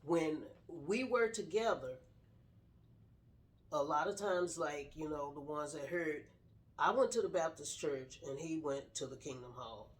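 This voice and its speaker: A woman's voice: deep voice, measured pace. A middle-aged woman sharing her personal story casually in an earnest, straightforward way. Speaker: guest telling personal story, wife of the host.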